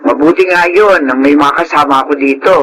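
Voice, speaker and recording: A woman's voice: sits low, brisk, a bit bright. A woman playing a welcoming, lonely middle-aged aunt with a chatty manner in a Tagalog radio drama. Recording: faintly noisy radio drama recording.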